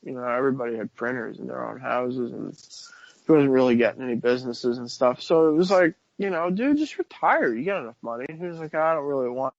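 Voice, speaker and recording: A man in his thirties chats on a radio show in a relaxed, slangy way at an average pitch. His voice is close and dry, with a slightly dull, lo-fi quality. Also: quick pace, gravelly, no room sound. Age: 30s. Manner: laid-back, slangy, laughs easily. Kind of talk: casual talk radio chat.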